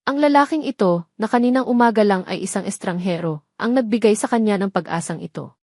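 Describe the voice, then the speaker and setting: A woman's voice: mid-range voice, brisk, darkish tone, no room sound. Woman narrating a story, dry close sound. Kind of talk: scripted dramatic story narration.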